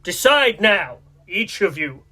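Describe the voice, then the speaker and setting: Man acting gentlemanly villain Santa, radio play, high-pitched, bright hard edge, polite, threatening. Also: sing-song, slow, full character voice, low-quality recording. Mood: cold threat. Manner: courteous, formal, threatening.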